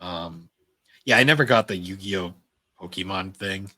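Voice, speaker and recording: Guy podcast cohost, crisp forward bright voice, dry close sound, rambly casual geek chat. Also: deep voice, unhurried, no room sound. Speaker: podcast co-host. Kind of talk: casual chat about anime and games.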